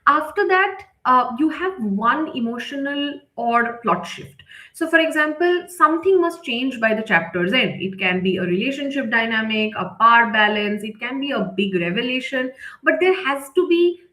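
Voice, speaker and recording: Woman instructor, voice on the high side, unscripted live-lesson delivery, emphatic repeats, very roomy echo. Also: animated, moderate pace, sharp tone. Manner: unscripted, emphatic with repetition and check-in tags. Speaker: writing workshop instructor. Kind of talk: live online lesson, explanatory. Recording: echoey, roomy sound.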